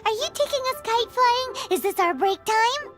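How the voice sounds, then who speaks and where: A tiny chirpy fairy sidekick in a video game. She is extremely high and squeaky, eager and cheeky, bouncing around in pitch over background music. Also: expressive, normal speed. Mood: perky, curious. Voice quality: squeaky, childlike. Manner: perky, exaggerated, cutesy.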